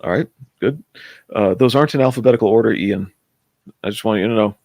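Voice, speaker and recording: Guy hosting a livestream, lowish voice, dry sound, rambly casual chat, reading out comments. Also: fast talker, a little mellow, dry recording. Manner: rambling, breaks off to read out chat messages. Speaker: host of a comics chat livestream. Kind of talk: casual livestream chat, greeting viewers and asking questions.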